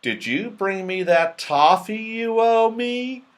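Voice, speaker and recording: High, excitable magical moon man voiced by a man. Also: slow pace. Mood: boisterous. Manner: exclamatory, loud, earnest. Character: excitable, toffee-loving round-faced magical moon man.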